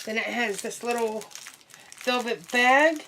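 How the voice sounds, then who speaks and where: A young woman chatting casually through an unboxing while sick, her voice nearly gone, excitable and full of ums. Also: a little high, takes it slowly, slight background noise. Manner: excitable, many ums and trailing asides. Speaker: unboxing vlogger.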